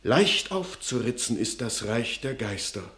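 A solemn old father in a classic radio play, full of dark foreboding. He speaks slowly and gravely, weighing every warning in measured verse. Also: medium pitch, big pitch swings.

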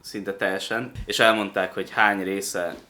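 Male co-host, low but forceful bright voice, casual commentary chat. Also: little pitch movement, slight background noise. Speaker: co-host of a video reaction show.

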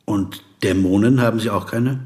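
A man playing a reserved, observant interviewer in a radio play, narrating and questioning in a measured, restrained way. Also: lowish voice, darkish tone, breathy edge, dry recording. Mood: probing, restrained. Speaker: interviewer and first-person narrator. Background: music.

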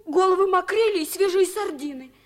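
A woman's voice: extremely high pitch, brisk. An actress playing an earnest young French boy in a 1950s radio play, pleading and insistent.

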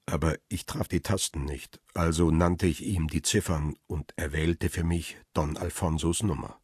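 A man's voice: very deep voice, steady tone, darkish tone, breathy edge, dry recording, studio quality, exaggerated voice. A weary photographer telling his story. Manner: measured first-person storytelling. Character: battered male photographer recalling events, wry and weary. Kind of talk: first-person narration in a radio drama.

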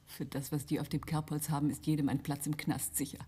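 An actress playing a sardonic, worldly smuggler's wife in a German radio play, with a husky, breathy voice. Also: female voice, deep voice, mellow.

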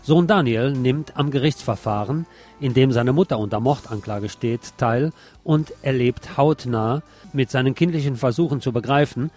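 A man's voice: mid-range voice, normal speed, darkish tone, faint music behind. A man delivering a scripted film review as a critic recommending a movie to listeners.

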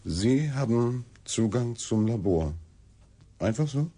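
An actor playing a dogged newspaper reporter in a radio drama, asking terse, skeptical questions in with a husky voice. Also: male voice, mid-range voice, expressive, slow, warm tone, exaggerated voice. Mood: probing. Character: dogged male newspaper reporter chasing a scandal.

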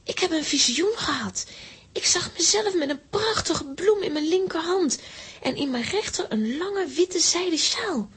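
A woman voicing an earnest, excitable little girl in a children's audio drama, with an emphatic, childlike delivery. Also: very high voice, a little slow, exaggerated voice. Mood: elated.

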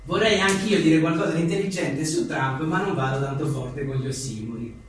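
A man's voice: a little high, fairly quick. A man reading humorous one-liners aloud with casual asides, in a wry, joking manner. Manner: wry, joking, often chuckling. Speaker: writer and journalist presenting his own book. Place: live book presentation in a reverberant room. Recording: echoey room, distant mic, faint noise.

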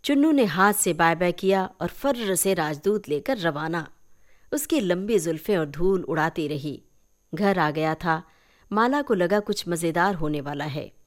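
A woman narrating a short story in an expressive storytelling style. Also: fairly low pitch, a little slow. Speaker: story reader.

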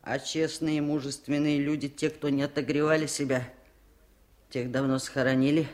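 A woman's voice: low-pitched, level tone, moderate pace, a little mellow, natural voice. Actress playing a blunt, lonely old village woman. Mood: gruff, wry. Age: older. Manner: plain-spoken folksy musing, wryly stoic. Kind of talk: 1970s radio drama acting.

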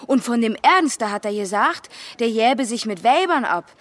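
A woman's voice: on the high side, animated, moderate pace, a bit bright, dry recording. A woman playing a shy, naive teenage butcher's daughter, hesitant and guarded, in a German radio crime drama. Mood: uneasy, confiding. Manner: hesitant, guarded, simple short answers.

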